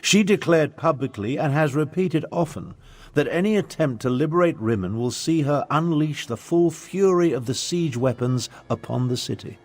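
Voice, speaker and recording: A man's voice: fairly high pitch, normal speed, a little mellow, no room sound, close to an everyday voice. An actor playing a haughty, scheming elder nobleman in a video game, with a formal, pompous manner. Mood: grave, lecturing. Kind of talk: scripted video game dialogue.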